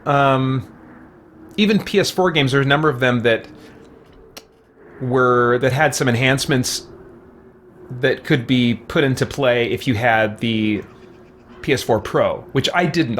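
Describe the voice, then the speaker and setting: A man's voice: medium pitch, unhurried, long pauses, fairly bright tone, a little hiss or hum. A man chatting casually on a livestream, answering viewers in a hesitant way and searching for words. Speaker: streamer playing a game and answering viewer comments. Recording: music playing behind voice. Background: faint music.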